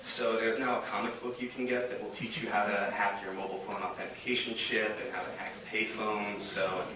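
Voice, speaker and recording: A man with a gravelly, cold-affected voice giving an informal award introduction speech, wry, self-deprecating and halting. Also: lowish voice, flat delivery, sharp tone. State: has a bad cold. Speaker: award presenter. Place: large room at a tech conference. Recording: echoey hall, distant mic, faint noise.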